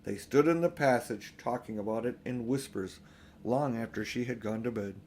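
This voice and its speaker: A man's voice: medium pitch, moderate pace, a little mellow, slight background noise. A man narrating a children's storybook aloud as an audiobook reader.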